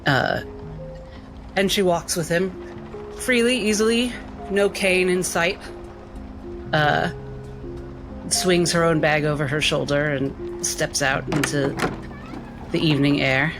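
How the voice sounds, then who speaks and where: Guy player describing what his character does, bit high pitched, hesitant, music in the background. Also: fairly even intonation, takes it slowly, pauses often. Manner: hesitant, improvised. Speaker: tabletop game player. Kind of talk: narrating own character's actions in third person.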